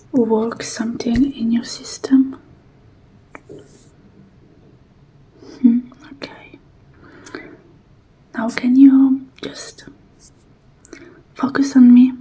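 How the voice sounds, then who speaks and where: A woman plays a calm, self-assured female hacker in an ASMR roleplay. She speaks slowly in a hushed, mellow whisper, reassuring throughout, over faint noise. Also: on the high side, rough recording. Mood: focused, soothing.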